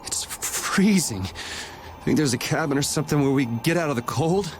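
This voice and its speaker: A man's voice: a little high, animated, normal speed, faint music behind, close to an everyday voice. An actor playing a cool, casual, daring young man in a video game, with a laid-back, dry delivery. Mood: wry. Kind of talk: game voice acting.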